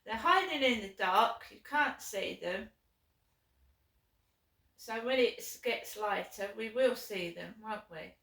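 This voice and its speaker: A woman with a sore throat vlogging alone from her flat, rambling and aggrieved, with many ums. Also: medium pitch, takes it slowly, vocal fry. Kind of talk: casual chat to camera. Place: small flat, indoors. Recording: echoey room, mic at a distance.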